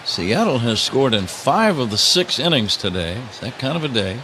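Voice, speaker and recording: A man's voice: medium pitch, normal speed, background noise. A man calling a baseball game live on the radio as the play-by-play announcer, with the ballpark behind him. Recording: steady ballpark ambience behind the voice. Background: outdoor ballpark ambience.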